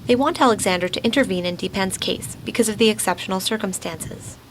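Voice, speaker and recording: A woman reports in scripted radio documentary style. Her voice sits at a medium pitch, with faint ambient noise behind it. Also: fast talker, rough recording.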